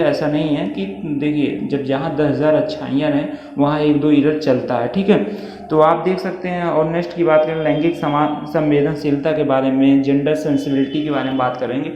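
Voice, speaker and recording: A man's voice: on the high side, fairly even intonation, moderate pace. A man explaining exam material informally like an online coaching teacher, reassuring and often checking in with listeners. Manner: reassuring, repetitive check-in phrases. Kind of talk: informal explanatory lesson, reading through a syllabus. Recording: echoey reverberant room, muffled, faint noise.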